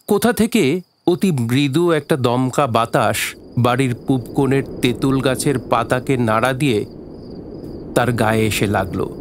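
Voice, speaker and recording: A man's voice: medium pitch, unhurried, a little hiss or hum, natural voice. A man narrating a literary village mystery as the storyteller of an audio drama. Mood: solemn, suspenseful.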